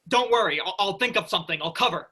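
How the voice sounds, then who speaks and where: Brash would-be starship captain, eager and cocky played by a male actor, high pitch, very sharp forceful tone, sci-fi comedy reading. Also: lively intonation, quick pace, exaggerated voice. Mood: flustered. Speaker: actor in a student play reading.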